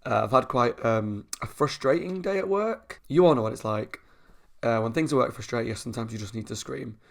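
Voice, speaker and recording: Croaky, gravelly man chatting. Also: mid-range voice, a little fast. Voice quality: croaky, sore from screaming. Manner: chatty, talks a lot, laughs often. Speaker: reaction channel host. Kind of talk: casual reaction commentary. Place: at home.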